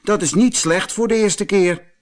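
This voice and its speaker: A man's voice: sits high, a little slow, darkish tone, in a large hall, voice pushed up. A man voicing a young, earnest boy apprentice wizard in a storybook. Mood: pleased. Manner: hesitant, earnest. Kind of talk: storybook character voice.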